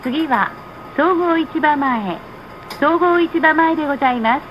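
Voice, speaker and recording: A woman reading scripted stop announcements on a bus in a measured, formal, polite manner. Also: high voice. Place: played over speakers inside a moving bus. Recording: muffled, noisy recording inside a bus. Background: bus engine and road noise.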